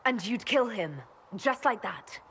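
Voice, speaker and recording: A direct, principled elven heroine. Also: female voice, fairly high pitch, expressive, measured pace, fairly bright tone, a little hiss or hum. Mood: challenging. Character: principled, questioning elven woman mage hero. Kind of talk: fantasy video game dialogue.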